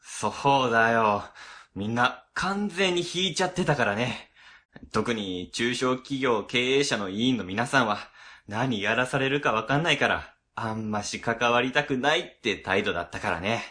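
Casting: male actor for breezy public-office manager, casual explainer, animated delivery, sharp bright tone, radio drama. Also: mid-range voice, measured pace. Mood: breezy, talkative. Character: chatty, easygoing male government office section boss. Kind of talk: Japanese web radio drama acting.

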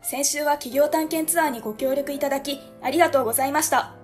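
Woman as a courteous city office worker, earnest, fairly high voice. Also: fast, soft background music, lightly acted. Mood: polite. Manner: polite, earnest, explaining. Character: polite young female ward office clerk, earnest.

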